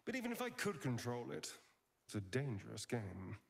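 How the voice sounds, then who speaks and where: A man's voice: medium pitch, huge pitch swings, moderate pace, vocal fry, husky, heavily acted. An actor playing a charming, wounded male vampire spawn in a video game, with a theatrical, drawling, wry delivery. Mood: bitter, wistful.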